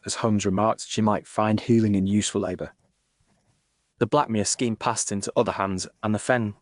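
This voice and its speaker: An actor with a British accent playing a reflective Victorian gentleman doctor, earnest and formal, in a dramatised story. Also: on the low side, no room sound, studio quality, natural voice. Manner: formal, measured literary phrasing. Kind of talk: first-person dramatised narration.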